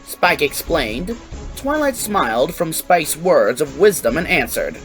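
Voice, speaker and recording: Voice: medium pitch, sing-song, a little slow, a bit bright, faint music behind, poor audio. A narrator reading a scripted fan fiction story aloud.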